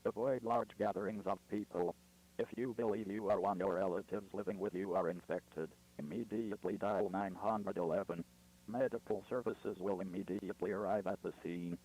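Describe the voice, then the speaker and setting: A man's voice: deep voice, steady tone, fairly quick, darkish tone, low-quality recording. A man playing a stern, impersonal emergency alert announcer, giving formal scripted instructions. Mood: stern, official.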